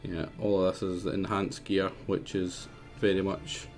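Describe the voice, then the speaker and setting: A man's voice: deep voice, monotone, normal speed, vocal fry, a little mellow, soft background music. A man with a Scottish accent giving casual, chatty commentary as he plays a game live. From Scotland. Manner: chatty, muttering to himself. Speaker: streamer.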